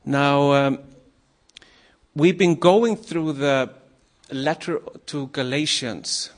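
Slow, halting man from Iceland preaching. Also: on the high side. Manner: halting, many ums and uhs, nervous. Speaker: guest preacher. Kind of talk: sermon to a congregation.

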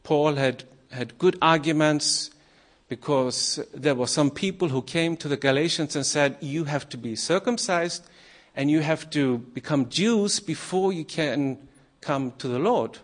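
A man from Iceland, a former missionary, giving a nervous, halting sermon to a congregation with many pauses and fillers. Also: on the high side. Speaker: guest preacher.

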